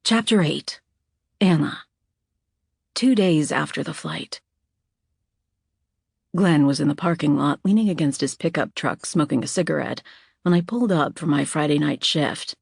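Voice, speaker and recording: A woman's voice: sits low, lively intonation, unhurried, creaky, long pauses, darkish tone, breathy edge, no room sound, studio quality. A woman narrating a first-person thriller as a scripted audiobook.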